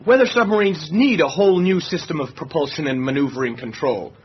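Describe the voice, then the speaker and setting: A man's voice: a little high, a little fast, breathy edge. An actor playing an eager, nosy young male navy yeoman in a radio drama, deferential yet cheeky. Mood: probing. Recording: dull, lo-fi radio drama sound.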